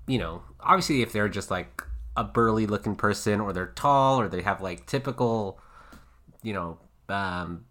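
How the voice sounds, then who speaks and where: Very low-voiced woman podcast host. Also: animated, moderate pace, fairly bright tone. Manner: laughs often, chatty, trails off mid-sentence. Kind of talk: casual podcast chat.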